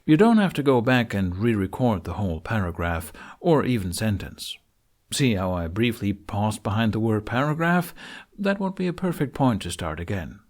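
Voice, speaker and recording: A man narrating a how-to tutorial, explaining things step by step with deliberate phrasing and pauses. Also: fairly low pitch, very animated, a little mellow. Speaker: tutorial host. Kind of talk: instructional narration for a how-to video.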